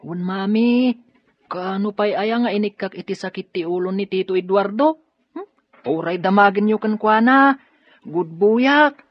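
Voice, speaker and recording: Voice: medium pitch, a little slow, no room sound, close to an everyday voice. A performer playing an eager young student son in a radio drama, pleading and reassuring. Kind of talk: Ilocano radio drama acting. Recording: dull audio with music underneath.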